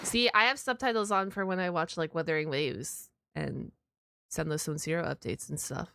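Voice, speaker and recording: A woman's voice: low-pitched, moderate pace, creaky, bright, forceful tone, clear, resonant tone. A woman streamer chatting casually as she reacts to a video, in an informal, amused, exclamatory way.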